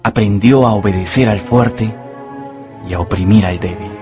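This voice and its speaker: A man's voice: fairly low pitch, moderate pace, over loud music. A man narrating a radio novel as a scripted, dramatic storyteller.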